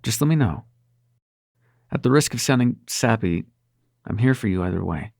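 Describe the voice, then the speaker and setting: Male actor as young demon prince for intimate roleplay audio: sarcastic, secretly tender, animated pitch, gravelly edge, casual and sweary. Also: moderate pace, dry recording, studio quality. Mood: tender, concerned. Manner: casual, sweary, teasing, hesitant when sincere.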